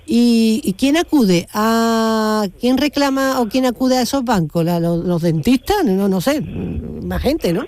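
A woman's voice: fairly high pitch, takes it slowly, hardly any pauses, a little mellow. A woman hosting a casual radio interview, asking questions in an informal, hesitant way. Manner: inquisitive, informal, hesitant phrasing. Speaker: radio host. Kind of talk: casual live phone interview.

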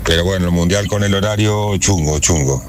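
A relaxed man chats on a call to a radio show in a very deep voice. Faint noise sits behind him. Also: a little fast. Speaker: caller.

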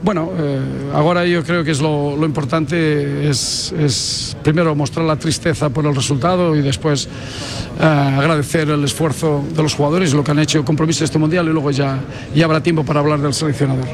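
A man who coaches a national football team, giving a post-match interview in a halting, measured way and often searching for words. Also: on the high side, fairly even intonation. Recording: crowd noise behind.

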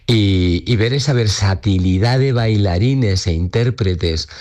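A man's voice: lowish voice, measured pace, darkish tone. A man in his fifties, a dancer and choreographer, talks reflectively in an interview, often repeating words and adding tag questions. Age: 50s. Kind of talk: conversational interview.

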